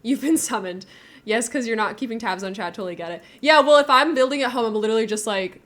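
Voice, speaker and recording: A woman's voice: a little high, fast talker, a bit bright. A woman game streamer chatting casually and thinking aloud as she builds, with a giggly, informal manner.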